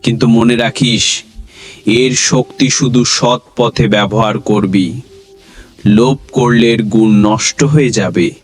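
A man's voice: mid-range voice, steady tone, takes it slowly. A man voicing a solemn holy man in a cartoon folk tale, speaking in a grave, admonishing way. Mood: solemn warning. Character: solemn male holy man granting magic, sternly warning.

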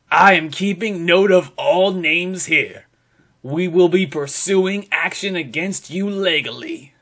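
A man giving a dramatic reading in an emphatic, deliberate, mock-serious way. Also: a little high, sharp tone, slightly rough. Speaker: performer reading social media posts aloud.

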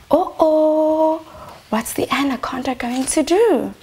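A young woman reads a children's story as a teacher would for her youngest class. Her voice is high and swings widely in pitch. She takes her time, shaping the words expressively and inviting the children in. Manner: expressive, prompting children with questions. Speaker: infant teacher reading a storybook. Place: small decorated indoor reading corner.

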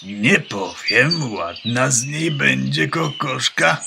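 A man's voice: mid-range voice, slow pace, gravelly, heavy background noise. A man playing an earnest, pompous farmyard rooster who declaims in a children's radio play. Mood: lofty, rallying. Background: birdsong.

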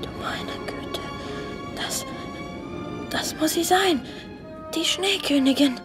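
Bold, curious little boy, fairy tale radio play, high-pitched and animated. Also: takes it slowly, over music, heavily acted. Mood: awed. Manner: eager, boyish.

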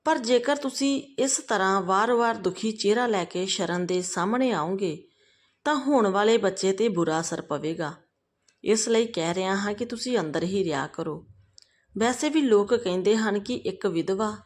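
A woman's voice: medium pitch, moderate pace, natural voice. Woman voicing a grown son, callous, bossy, curt, domineering, moral storybook. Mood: coldly chiding.

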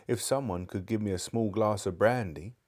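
A man voicing a shaken, faint-voiced elderly gentleman in a storybook reading. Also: on the low side, normal speed, lightly acted. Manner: faint, fussy.

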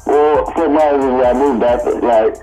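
Laid-back young-sounding rapper, guarded. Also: male voice, medium pitch, steady tone, normal speed, dark tone, soft background music, rough recording. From Cuba. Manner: laid-back, brief, guarded answers with slang. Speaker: guest rapper. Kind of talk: casual interview.

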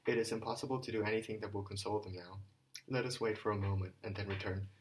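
A man reading an audiobook, voicing an earnest young man who counsels softly and then addresses a group of boys with feeling. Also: fairly low pitch, fairly even intonation, quick pace, husky. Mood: gentle. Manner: earnest, rising to a heartfelt address.

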